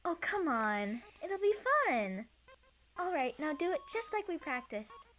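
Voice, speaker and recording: Female actor as patient cartoon kid: high, very swingy pitch, coaxing and gently bossy. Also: very animated, a little slow, exaggerated voice. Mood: encouraging. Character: cheery, patient child coaching a flower friend. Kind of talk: comic dub voice acting.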